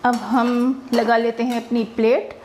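High-voiced woman narrating her cooking. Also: little pitch movement, unhurried, darkish tone, a little hiss or hum. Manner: chatty, homely, explains each step. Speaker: cooking vlogger. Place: home kitchen.

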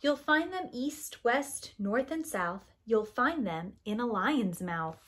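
A woman in her thirties reading a children's picture book aloud like a preschool teacher, with expressive, sing-song rhyming delivery and deliberate pauses. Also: on the high side, slight background noise.

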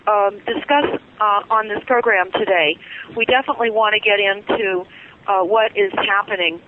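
Woman phone-in guest, mid-pitched, pressing and insistent, heard through a tinny phone line. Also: moderate pace. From California. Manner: urgent, insistent, many filler ums. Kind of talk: phone-in radio interview.

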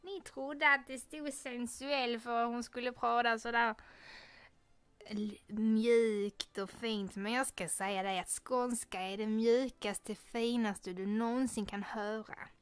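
A proud cartoon nymph queen played by a woman with a Scanian Swedish accent and a fairly high voice. She is sassy and comic, recorded close and dry. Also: steady tone, clear, resonant tone, dry recording, lightly acted. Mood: proud, defiant. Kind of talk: comedic fan dub outtake.

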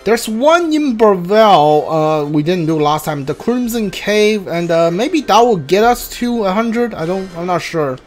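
Halting, slow-paced game streamer over music. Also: medium pitch, animated, a little mellow. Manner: halting, thinking aloud. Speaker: gameplay streamer. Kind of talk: casual playthrough commentary. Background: video game music and sounds.

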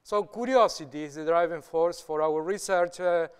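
A middle-aged man from Argentina with a strong Argentinian Spanish accent, giving a public lecture at a podium microphone in a large hall, with halting restarts. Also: on the high side, smooth. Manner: halting, with frequent restarts and gestures. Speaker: guest lecturer. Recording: reverberant hall.

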